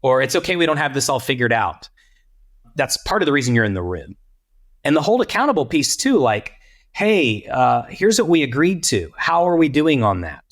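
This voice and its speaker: Male podcast panelist from Texas, conversational advice-giver with very lively, swinging intonation. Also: mid-range voice, normal speed. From Texas, United States. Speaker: podcast panelist, church leadership coach.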